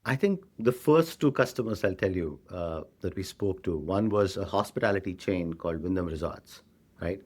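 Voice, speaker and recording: Indian guy, pretty low voice, tech exec explaining stuff in an interview, keeps checking you follow. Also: lively intonation, moderate pace, darkish tone. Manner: frequently tags sentences with "right?". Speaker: tech company CEO being interviewed. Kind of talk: conversational interview answers, explanatory.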